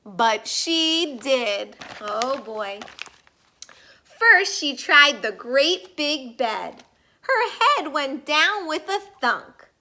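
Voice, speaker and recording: Female storyteller, high-pitched, very lively intonation, slow deliberate pace, children's read-aloud. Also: a little hiss or hum. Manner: animated, deliberate storytelling with emphatic words.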